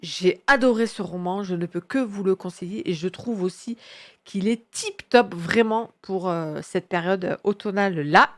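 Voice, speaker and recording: Casting: woman, mid-pitched, sniffly with a cold, chatty and enthusiastic book reviewer who rambles in slang. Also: measured pace. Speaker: book reviewer presenting her reading picks. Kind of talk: casual chatty book recommendation monologue.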